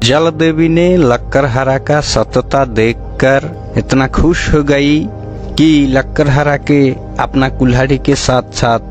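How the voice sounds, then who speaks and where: A man's voice: mid-range voice, fairly even intonation, slow. A man narrating a scripted moral story for children, with music playing behind him.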